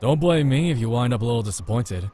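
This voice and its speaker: A man's voice: medium pitch, lively intonation, fast, warm tone, a little hiss or hum, lightly acted. A man voicing a cocky, playful male love interest in a visual novel, teasing and breezy, over music. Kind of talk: visual novel character reading.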